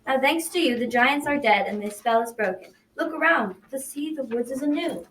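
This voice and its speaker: A kindly enchanted woodland lady acted by a young girl, gracious and high-voiced. It sounds like a school radio play caught in an echoey room, a little far from the mic. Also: expressive, moderate pace, a bit bright. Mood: joyful, gracious. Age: child about 12 to 13. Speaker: student actor in a school radio drama. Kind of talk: amateur radio drama acting. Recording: echoey room, distant mic, faint noise.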